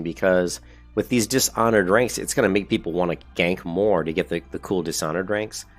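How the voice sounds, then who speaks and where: A man with a cold and a congested voice, hosting a casual gaming video and reading features off a website in a rambling, self-correcting, upbeat way. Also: low voice.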